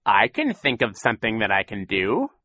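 A man's voice: on the low side, huge pitch swings, moderate pace, pushed and bright, no room sound, full character voice. A man voicing a boastful, cruel villainous con man in an audiobook, with a growling, mocking, exaggerated delivery. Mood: gloating, mocking. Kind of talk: audiobook character voice.

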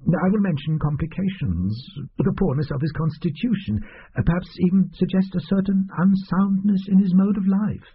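A man voicing a fat little male doctor, a wheedling fraudster who talks in hushed, fussy tones. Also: sits high, moderate pace, slightly rough, phone-quality sound, voice pushed up.

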